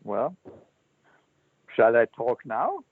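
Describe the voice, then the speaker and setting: An older man, a Zen teacher telling his life story as an interview guest, halting and thoughtful with many restarts. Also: mid-range voice, wildly swooping pitch, husky, no echo. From Switzerland. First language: German. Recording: dry, tinny phone-line sound.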